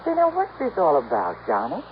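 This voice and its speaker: A flippant young woman in an old radio mystery. Her pitch swoops wildly as she talks in an airy, vague way. The sound is muffled, with faint hiss. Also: wildly swooping pitch, brisk. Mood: puzzled, vague. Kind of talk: 1950s American radio drama acting.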